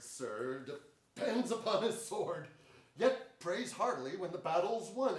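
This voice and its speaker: An older man playing a bold, forthright royal officer, declaiming emphatic Shakespearean verse in a staged reading. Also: high voice. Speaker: stage actor. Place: large indoor theater stage before an audience. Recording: echoey theater, distant microphone.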